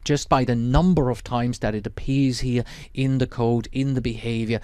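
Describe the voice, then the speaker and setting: A man whose first language is Swiss German, teaching a lecture in a measured, explanatory way with Swiss German accent. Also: mid-range voice, fairly even intonation, dark tone, clear, resonant tone, dry recording. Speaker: project management instructor.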